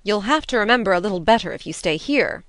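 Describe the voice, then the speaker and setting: A woman's voice: medium pitch, animated, fast, a bit bright, no room sound, close to an everyday voice. A woman reading an audiobook, voicing a strict, prim older woman who scolds stiffly. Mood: stern.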